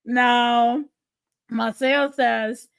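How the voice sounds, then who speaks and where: Puerto Rican woman hosting a live political show, slightly high voice, blunt and sarcastic, reads chat aloud. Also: steady tone, drawn-out words, gravelly, clean tone. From Puerto Rico. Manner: impassioned and blunt, with sarcasm and occasional profanity. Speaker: livestream host. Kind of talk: political commentary, reading viewer comments.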